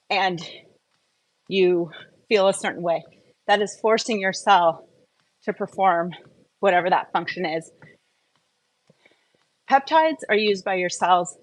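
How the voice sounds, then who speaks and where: A woman talks on her own in a casual, explanatory style, like a host updating followers. She is conversational and goes step by step, often posing a question and then answering it. Her pitch sits in a middle range for a woman. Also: low-quality recording. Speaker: host of a wellness audio update. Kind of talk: casual explanatory solo talk.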